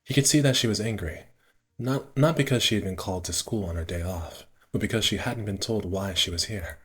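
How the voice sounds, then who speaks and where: A man with a low, slightly rough and husky voice reads story narration aloud for a casual game stream. He stumbles now and then and drops into offhand asides. Soft game music plays behind him. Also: a little fast. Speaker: streamer reading a visual novel aloud.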